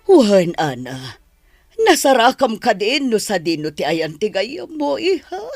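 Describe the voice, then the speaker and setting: Exasperated mother played by a woman, radio-play style, lively intonation, dry close sound. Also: mid-range voice, measured pace, dry recording, faint music behind, full character voice. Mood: weary. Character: exasperated, worried mother of a wayward grown daughter. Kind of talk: Ilocano audio drama acting.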